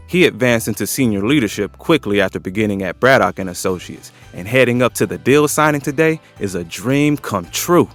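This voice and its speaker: Man, scripted drama narration, lowish pitch, faint music behind. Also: a bit bright.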